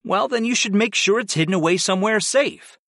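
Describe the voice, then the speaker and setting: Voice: medium pitch, brisk, a bit bright, dry recording, studio quality, pitched above the performer's own voice, lightly acted. A narrator voicing a brusque male fantasy hero who commands demons, blunt and matter-of-fact, in an audiobook.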